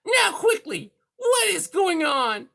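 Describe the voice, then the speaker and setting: A woman's voice: very high voice, big pitch swings, takes it slowly, creaky, fairly bright tone, pitched above the performer's own voice, exaggerated voice. A woman voicing a sneering, bullying female cat villain in a children's story, with a menacing, snarling manner. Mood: sneering, threatening.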